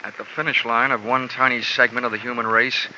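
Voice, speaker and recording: A man's voice: medium pitch, level tone, fairly quick, sharp tone, slight background noise. An actor playing a wry, hard-boiled male insurance investigator who narrates in dry, wisecracking first person, in 1950s radio drama style. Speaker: narrating detective lead. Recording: dull old radio recording with music.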